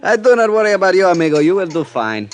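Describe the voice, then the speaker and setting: Courtly police chief, Spanish accent, sly, teasing, halting phrases, very melodic pitch, vintage radio play. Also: male voice, big pitch swings. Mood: genial. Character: genial, sly Venezuelan male police chief. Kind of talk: golden age radio drama acting.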